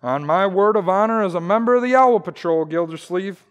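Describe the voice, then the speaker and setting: An actor playing a blustering, boastful, bumbling husband in old-time radio comedy, with folksy, grumbling exclamations. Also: male voice, sits high, a little fast, dull audio, lightly acted. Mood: scornful challenge. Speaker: actor in community theater radio play.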